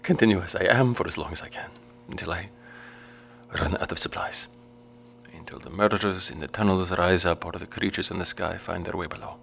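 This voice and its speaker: An actor playing a desperate, frightened father in an audio drama, speaking in a halting, emotionally raw way. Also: deep voice, vocal fry, fairly bright tone, a little hiss or hum. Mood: bleak, defeated.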